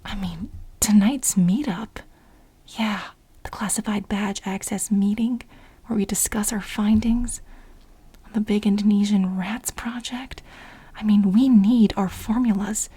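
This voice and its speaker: Woman doing an asmr roleplay as a clingy fed-up office assistant, super breathy whispering, soft mellow voice, keeps insisting. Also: medium pitch. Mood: urgent, exasperated. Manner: insistent, repetitive, halting for emphasis. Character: obsessive, exasperated female administrative assistant pleading with her boss. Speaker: ASMR role-play performer. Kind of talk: soft-spoken ASMR role play.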